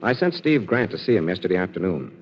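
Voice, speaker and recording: A man playing an amateur sleuth and commanding invisible avenger in 1940s radio mystery style, with measured, authoritative delivery. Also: low voice, big pitch swings, slight background noise. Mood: wry, composed. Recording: muffled 1940s radio recording.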